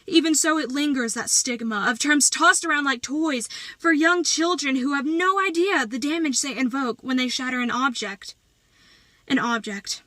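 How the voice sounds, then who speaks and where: A woman performing slam poetry as a poet, with dramatic, deliberate delivery and emphatic phrasing. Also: sits high, sharp tone, dry recording.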